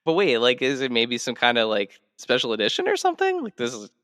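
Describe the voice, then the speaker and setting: A man's voice: medium pitch, wildly swooping pitch, fast talker, fairly bright tone, smooth, no echo, clean studio sound. A man speaking casually as a podcast host. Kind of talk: casual chat.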